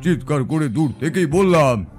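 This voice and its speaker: A man's voice: on the high side, lively intonation, a little mellow, lightly acted. A man playing a grave village tantrik priest who recounts eerie encounters as a suspenseful, dramatic horror story. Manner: slow, suspenseful, deliberate. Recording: background music under the voice.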